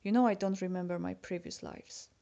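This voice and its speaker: A woman's voice: lowish voice, steady tone, a little fast, vocal fry, mellow, muffled recording. A Polish-born woman whose first language is Polish, reading her own personal letter aloud in English with a Polish accent, chatty and confiding as if talking to a friend. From Poland. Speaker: letter writer.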